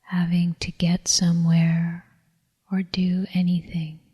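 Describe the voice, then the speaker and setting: A woman leading a guided meditation in a soft, whispery, breathy voice, calm and soothing, with long unhurried pauses. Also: fairly low pitch. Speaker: meditation teacher. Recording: long reverberant hall echo.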